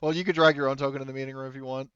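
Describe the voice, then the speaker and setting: Male game master, casual and rambling, clear smooth voice, close dry sound on a slightly dull mic. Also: medium pitch, little pitch movement, fairly bright tone, no echo. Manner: halting, rambling, searching for words. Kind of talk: casual improvised tabletop game narration.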